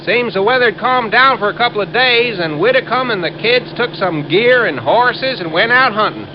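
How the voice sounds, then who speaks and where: A man's voice: sits high, animated, moderate pace. An actor playing an earnest, rambling young insurance man in a 1950s American radio drama, folksy and hesitant. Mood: folksy explaining. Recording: muffled, noisy old radio recording.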